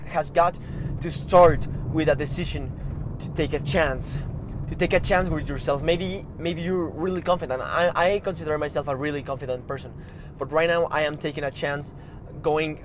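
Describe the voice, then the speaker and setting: A man with a Mexican Spanish accent hosts a motivational podcast in English, his second language, with a somewhat high voice. He talks earnestly and urges listeners on, stumbling and repeating words as he goes. Street noise and cars are clearly heard behind him. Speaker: podcast host.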